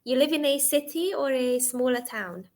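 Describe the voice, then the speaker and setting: A chatty woman in her twenties tutors English over a video call. She giggles often and keeps asking questions. Her voice sits a bit high. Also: lively intonation, normal speed, a little mellow. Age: 20s. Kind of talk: casual video-call conversation lesson.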